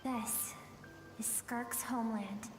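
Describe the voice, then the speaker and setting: A woman playing a solemn, wistful storyteller in a video game, narrating a tragic past in a soft, measured way. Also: a little high, steady tone. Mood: wistful, nostalgic. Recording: long reverberant game audio with faint music.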